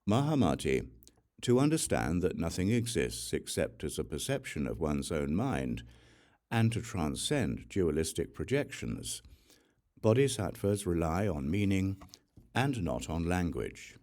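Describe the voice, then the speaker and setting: Super deep gravelly guy doing a solemn audiobook read of scripture, slow deliberate phrasing. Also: sing-song, darkish tone. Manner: measured, deliberate delivery. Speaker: audiobook reader.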